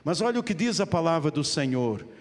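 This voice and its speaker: A man's voice: a little high, big pitch swings, a little fast. A middle-aged man with a European Portuguese accent preaching an evangelical sermon as a pastor, addressing his listeners directly with emphatic repetition. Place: large church hall, live service. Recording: long hall reverb of a church.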